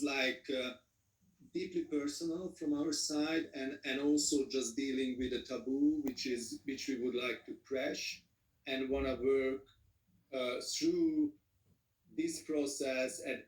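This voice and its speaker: A man with a Hungarian accent who speaks English as a second language, answering as a film director in a panel Q&A, halting and repeating words. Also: medium pitch, far from the mic. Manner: halting, repeats words, non-native phrasing. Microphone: video call. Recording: roomy video call audio from off-mic.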